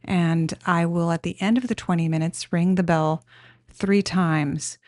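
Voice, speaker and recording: Woman doing guided meditation, slow n gentle, lowish voice, super dry close sound. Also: steady tone, no room sound. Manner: gentle, unhurried, instructional.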